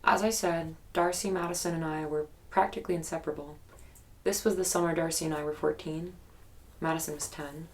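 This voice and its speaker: Casting: guarded young woman in investigative audio drama, very low register, fry-heavy, hesitant naturalistic storyteller carrying a haunted memory. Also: fairly even intonation. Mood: reflective. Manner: hesitant, reflective storytelling with pauses. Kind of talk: naturalistic documentary-style audio drama interview.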